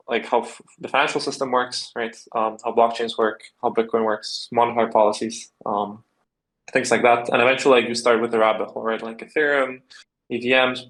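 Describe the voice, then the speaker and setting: A man's voice: medium pitch, a bit bright, rough recording. A young man from the Czech Republic, answering interview questions in a loose, rambling way with lots of fillers. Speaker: guest.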